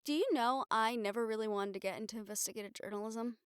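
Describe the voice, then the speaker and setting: Woman acting wry reporter girlfriend, clear higher voice. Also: fairly even intonation, fast, dry recording, clean studio sound, natural voice. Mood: rueful, reflective. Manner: sardonic, sighing, casually sweary. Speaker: audio roleplay voice actor. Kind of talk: intimate audio roleplay acting, conversational monologue to the listener.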